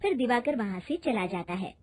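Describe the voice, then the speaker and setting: A woman narrator tells a scripted moral tale. Her voice is very high and somewhat husky. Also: sing-song, normal speed.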